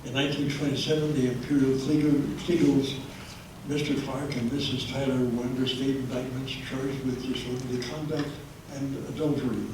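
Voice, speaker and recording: A man's voice: mid-range voice, level tone, moderate pace, background noise. A man giving a public history talk to an audience, with many hesitant fillers, recorded through a handheld microphone in a meeting room. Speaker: history presenter. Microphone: handheld microphone, held low at times. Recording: echoey room from far off.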